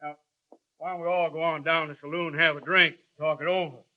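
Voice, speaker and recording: A blustering frontier outlaw, acted by a man, pleads and bargains in a folksy, jittery manner. His voice sits a little high, and the 1950s radio recording sounds dull and muffled. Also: little pitch movement, fast talker, lightly acted. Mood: wheedling. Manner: wheedling, nervous, folksy drawl. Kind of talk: 1950s radio drama acting.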